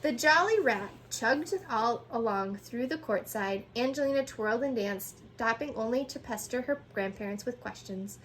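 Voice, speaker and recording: Female storyteller, high pitch, animated picture-book read with small stumbles, noticeable background noise. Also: moderate pace, few pauses, low-quality recording. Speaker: storyteller reading aloud to children.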